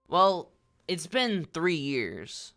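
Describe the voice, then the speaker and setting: A man's voice: fairly high pitch, sing-song, drawn-out words, pushed and bright, heavily acted. Man acting a meek, swooping-voiced underling. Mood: reluctant. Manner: hesitant, polite. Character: meek, deferential demoted agency underling. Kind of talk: scripted audio drama acting.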